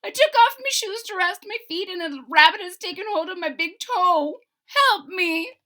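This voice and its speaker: A woman voicing a tiny, feisty and sly male leprechaun in a storybook reading, with a shrill, excitable voice. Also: moderate pace, exaggerated voice. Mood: pleading. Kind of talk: storybook read-aloud.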